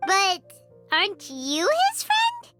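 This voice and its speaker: A woman voicing a small, excitable, blunt and nosy childlike fairy companion in a video game. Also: extremely high pitch, takes it slowly, heavily acted. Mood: surprised, blunt. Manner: exclamatory, speaks of self in third person. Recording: music playing behind the voice.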